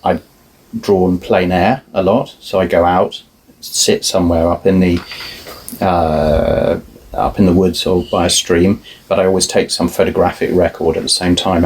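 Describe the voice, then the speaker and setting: A middle-aged man, a guest artist, talking in a relaxed, thoughtful way with many restarts. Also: low-pitched, a little slow, breathy edge. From family roots in Ireland, moved around a lot. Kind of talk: relaxed conversational interview.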